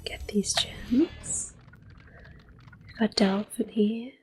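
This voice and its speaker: A woman giving gentle, mostly whispered ASMR commentary as she hosts a video game playthrough. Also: medium pitch, drawn-out words. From Europe. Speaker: host of a gameplay series. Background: quiet game music and controller clicks.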